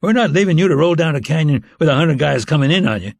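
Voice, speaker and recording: A man's voice: a little high, lively intonation, quick pace, vocal fry, no echo. A man voicing an indignant, combative former Navy SEAL in a thriller audiobook, with blunt delivery. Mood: defiant, scornful. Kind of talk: audiobook character dialogue.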